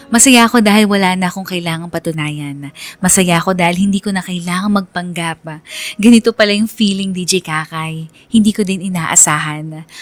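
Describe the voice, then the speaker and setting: A woman's voice: fairly low pitch, close to an everyday voice. A woman playing a heartbroken young woman who narrates her story sincerely, as a confession in a radio drama. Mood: relieved, tender. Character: heartbroken young woman letter-sender, reflective and accepting.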